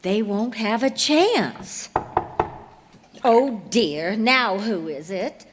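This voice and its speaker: A woman's voice: on the high side, animated, drawn-out words. A woman playing a fretful frontier wife. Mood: worried. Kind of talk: stage reenactment of old-time radio drama. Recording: echoey theater hall with background noise.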